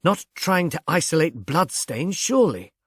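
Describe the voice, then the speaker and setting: Guy doing a posh-mannered airy mother in a novel, artificially pleasant, voice pitched up. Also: sing-song, normal speed, fairly bright tone, slightly rough, no echo, clean studio sound, heavily acted. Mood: feigned astonishment. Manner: affected, genteel.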